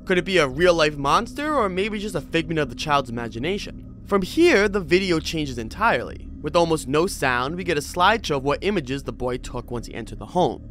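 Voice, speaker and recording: Higher-voiced man, lively scripted commentary. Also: slight background noise. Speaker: host of a horror video review channel. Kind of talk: scripted commentary with casual asides.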